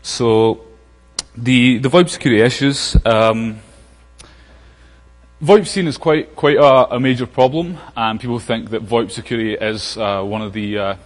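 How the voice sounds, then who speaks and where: A man's voice: medium pitch, a little slow. Scottish man lecturing in a hall. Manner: casual, frequent fillers and restarts. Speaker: conference speaker. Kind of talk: technical conference talk. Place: large conference hall. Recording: long hall reverb.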